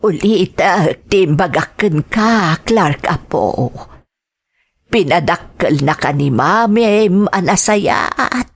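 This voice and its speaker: Husky, slow-talking Filipino grandmother, acted by a woman. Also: lowish voice, animated, full character voice. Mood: tender, pleading. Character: elderly, caring, worried grandmother. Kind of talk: Ilocano audio drama acting.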